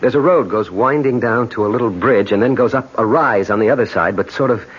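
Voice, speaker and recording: An actor playing a polite, persistent American traveler with an American accent in a 1970s radio drama. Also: male voice, medium pitch, a little fast, slightly rough, close to an everyday voice. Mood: earnest. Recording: muffled 1970s radio recording.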